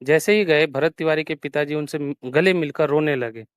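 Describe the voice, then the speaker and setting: Male news interviewer, smooth clear tone, slightly high pitch, close dry sound. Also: normal speed, no room sound. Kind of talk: political phone interview.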